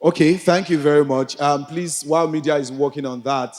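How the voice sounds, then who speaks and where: A Nigerian man with a notably high voice co-hosts a live stage event. He speaks in a polite, respectful way, trading banter with his fellow host and introducing guests to the audience. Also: steady tone, a little fast, darkish tone, long reverb tail. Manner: polite, addresses co-host as sir.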